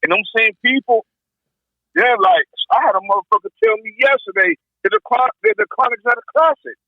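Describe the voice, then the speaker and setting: A man's voice: very high-pitched, sing-song, fairly quick, vocal fry, no echo. A man in casual chat with a blunt, profane way of talking. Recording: dull lo-fi call audio.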